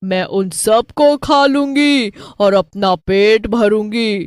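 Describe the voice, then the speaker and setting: A woman's voice: mid-range voice, slow, clear, resonant tone, dry recording, clean studio sound, natural voice. A woman voicing a gloating, bloodthirsty witch with a menacing, cackling manner in a cartoon horror story. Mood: scheming, gleeful. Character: gloating, bloodthirsty witch who preys on people.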